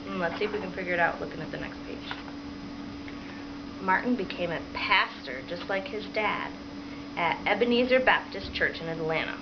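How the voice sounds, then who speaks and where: A woman primary school teacher reading a picture book aloud to children and pausing to prompt them with questions. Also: fairly low pitch. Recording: dull lo-fi sound with clear background noise.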